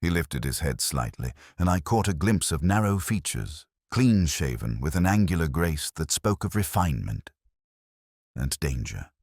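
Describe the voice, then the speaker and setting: A man with an extremely low, husky voice narrates a dramatic mystery. His delivery is solemn and suspenseful, with lively rises and falls in pitch. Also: normal speed, no echo, clean studio sound. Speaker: audiobook narrator.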